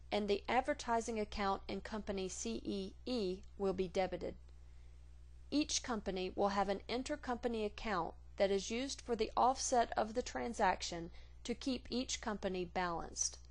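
A woman reading scripted instructional narration for a software tutorial in a measured, formal, neutral manner. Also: mid-range voice.

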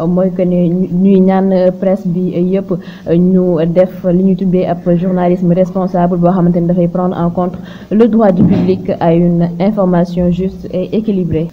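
Female news presenter, Senegalese accent. Lowish voice, hesitant read, noisy recording. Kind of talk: news narration. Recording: dull lo-fi sound with clear noise.

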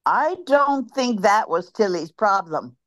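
A woman reading a sharp-tongued churchgoing gossip. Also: medium pitch, big pitch swings, slow pace, no room sound, clean studio sound. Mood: tart. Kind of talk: online play reading.

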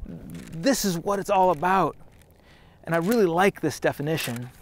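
A man's voice: fairly high pitch, animated, moderate pace. A man in his thirties preaching a sermon outdoors on a trail, earnest and conversational, with frequent restarts. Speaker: pastor.

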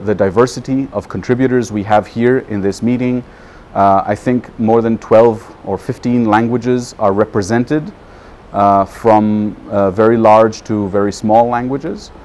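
A man in his thirties, a native Hebrew speaker, giving an informal talk to camera outdoors in a measured, thoughtful way. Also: fairly low pitch, darkish tone, slight background noise. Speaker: non-profit program manager.

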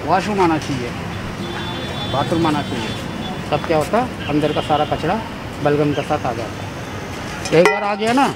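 Higher-voiced older man over loud street noise. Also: expressive. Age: 50s. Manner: explanatory, repeats his advice; halting, broken English mixed into Hindi. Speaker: street food vendor. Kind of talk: casual chat with customers. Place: outdoors at a roadside food cart.

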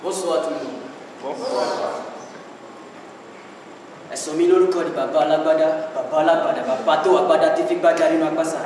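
Young man chanting slam, high and echoey. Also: moderate pace, pauses often. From Togo. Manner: chanting, rhythmic, repetitive. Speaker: slam poet. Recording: echoey hall from far off, noisy.

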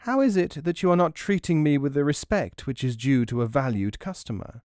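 A man's voice: a little high, expressive, brisk, a little mellow, dry recording, studio quality, lightly acted. A man voicing a sly, wheedling old crone. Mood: haughty, wheedling. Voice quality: wheezy, mumbling at times. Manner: sly, sometimes falsely pious and humble. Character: cunning, bold, wheedling old crone in a folk tale.

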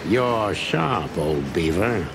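An actor playing a world-weary older rooster detective in a film noir game, with a dry, terse, deadpan delivery. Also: male voice, low voice, drawn-out words, gravelly, noisy background, exaggerated voice. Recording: music behind the voice.

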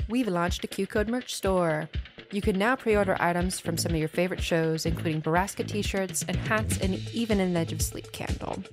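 Female promo announcer, lowish pitch, scripted read, music bed. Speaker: podcast network announcer.

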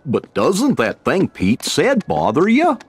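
A man playing a gentle, earnest cartoon dog companion in a video game, with a goofy husky drawl. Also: a little high, huge pitch swings, slow, a little hiss or hum, exaggerated voice. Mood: gentle concern.

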